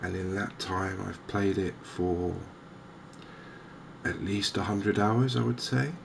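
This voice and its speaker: A man giving softly spoken, gentle ASMR game commentary in an unhurried, hesitant way. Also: sits low, steady tone, vocal fry, noisy background. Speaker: host of a cozy gaming channel.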